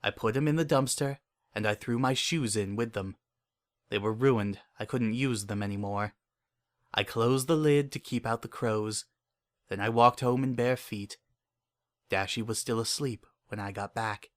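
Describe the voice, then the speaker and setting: A man's voice: fairly low pitch, measured pace, lightly acted. A man playing a paranoid, obsessive father in a dramatic horror fan fiction reading, with an intense, brooding and repetitive way of talking. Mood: hushed, brooding. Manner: intense, repetitive, brooding, swinging between hushed and frantic. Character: paranoid, obsessive, unhinged father protecting his pony daughter.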